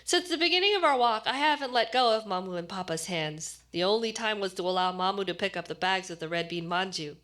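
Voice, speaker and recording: A woman narrates a short story in an expressive, scripted style. Her voice is bright and forward, sitting at a medium pitch. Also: fast, hardly any pauses. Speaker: actor reading a short story.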